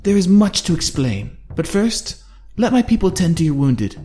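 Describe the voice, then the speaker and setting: A man doing a booming old king. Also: big pitch swings, normal speed, warm tone, faint music behind. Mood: astonished. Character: regal old bearded male king, commanding.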